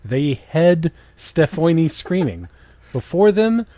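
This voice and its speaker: A man with a lively, fairly high voice reads a story aloud in a mock-dramatic way. He breaks off into exasperated, teasing commentary, his pitch swinging widely. The sound is close and dry. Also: takes it slowly, no room sound. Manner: mocking, exasperated, animated asides. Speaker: co-host of a comedy reading show. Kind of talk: dramatic reading of fan fiction with mocking banter.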